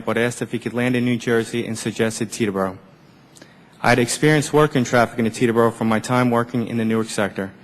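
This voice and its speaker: Low-voiced man reading formal testimony, reverberant hall. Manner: measured, formal delivery of a written statement. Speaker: air traffic controller giving testimony. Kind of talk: prepared testimony read aloud at a formal hearing. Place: large indoor government hearing room. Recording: echoey hearing room with long reverb.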